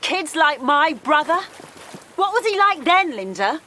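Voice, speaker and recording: A woman's voice: high-pitched, very animated, unhurried, pushed and bright, slight background noise, full character voice. An actress playing an outspoken, principled woman activist in an audio drama, challenging and indignant, asking pointed questions. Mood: angry, hurt. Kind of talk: naturalistic audio drama acting.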